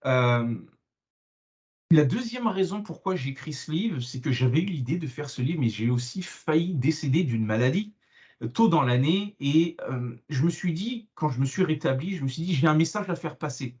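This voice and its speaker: A man from France, a guest author, answering interview questions over a video call. He speaks hesitantly, filling his pauses with plenty of euh. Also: on the high side, animated, a little mellow, lo-fi sound.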